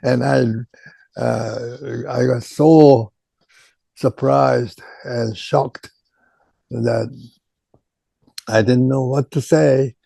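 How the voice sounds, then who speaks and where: An older man, a native Korean speaker with a Korean accent, recalling his career as a retired chemistry professor in an oral history interview, speaking haltingly with many pauses and repeated words. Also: medium pitch, fairly even intonation, vocal fry, mellow, dry recording. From Japan.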